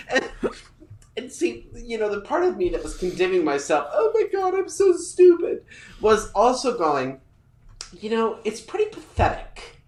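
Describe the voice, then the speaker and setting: Woman in her thirties, lively swooping voice, self-mocking storyteller, roomy echo. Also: on the high side, a little hiss or hum. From United States. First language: English. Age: 30s. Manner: animated, self-mocking storytelling with frequent laughter. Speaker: podcast co-host. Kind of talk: casual chat.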